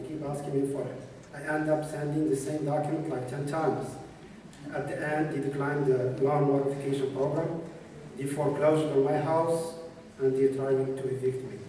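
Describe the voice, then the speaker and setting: A man's voice: fairly high pitch, fairly even intonation, moderate pace, warm tone, slight background noise. A man from Morocco speaking plainly and from the heart as a resident at a city council meeting. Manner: plain, heartfelt, simple phrasing. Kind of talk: public testimony. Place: large council chamber indoors. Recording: echoey council chamber from far off.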